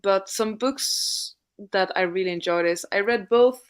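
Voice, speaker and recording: A woman's voice: medium pitch, measured pace, clear, resonant tone. A woman chatting informally and thoughtfully on a livestream about books, as one of its hosts. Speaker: co-host of a livestreamed reading sprint. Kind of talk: casual unscripted livestream chat about books.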